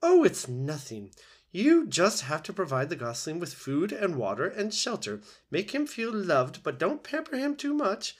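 A man's voice: high voice, huge pitch swings, unhurried, pitched above the performer's own voice, full character voice. A man voicing a bossy old female goose in a storybook, squawking, blunt and scolding with heavy emphasis. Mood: brisk, advising.

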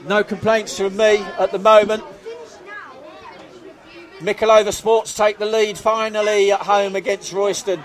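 Excitable play-by-play man, high-pitched, slightly worn voice, faint crowd behind. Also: measured pace, pauses often. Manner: excitable, shouts at goals, self-mocking. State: losing his voice. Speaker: football commentator. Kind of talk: live play-by-play commentary. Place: outdoors at a football ground. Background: crowd noise.

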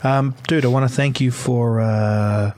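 A man's voice: medium pitch, steady tone, measured pace, darkish tone. A man, hosting a casual podcast, upbeat and musing, laughing easily. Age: 30s. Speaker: podcast host.

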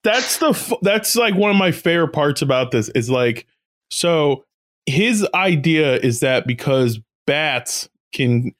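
A man co-hosting a casual comedy podcast, riffing on a movie, laughing often and explaining his points in halting restarts. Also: on the high side, animated. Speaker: podcast co-host.

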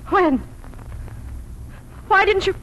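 A woman's voice: very high-pitched, lively intonation, drawn-out words, a bit bright, highs cut off, full character voice. A woman playing an anxious, urgent farm wife and mother in 1940s radio drama style. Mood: panicked. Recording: noisy old radio broadcast recording.

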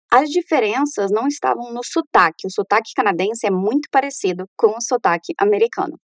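A woman's voice: medium pitch, no echo, clean studio sound. A young woman from Brazil, a journalism student, talking casually and with enthusiasm about her studies abroad, laughing now and then. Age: young adult. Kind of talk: casual personal account.

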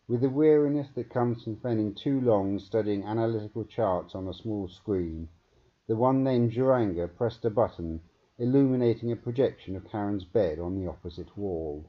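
A man reading a story aloud as a dramatic, deliberate audiobook narration, on a muffled recording. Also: fairly low pitch. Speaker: author reading own story. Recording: dull, muffled narrow-band recording.

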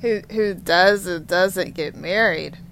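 A woman in her thirties from Texas, talking in a rambling personal monologue, halting and repetitive with frequent pauses. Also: on the low side, gravelly, a bit bright, slight background noise. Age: 30s.

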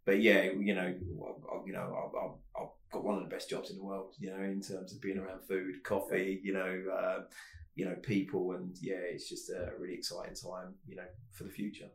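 A man's voice: sits low, monotone, fairly quick, vocal fry. A man, a food director and guest, chatting casually on a podcast, rambling with lots of fillers. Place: office. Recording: roomy echo.

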